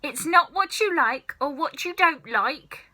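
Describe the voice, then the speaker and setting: A woman playing a snappish, sinister old grandmother, bright-voiced. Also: sits high, animated, voice pushed up, full character voice. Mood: snappish, contemptuous. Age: older. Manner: snapping, commanding, drawn-out relish on key words. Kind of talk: children's storybook character reading.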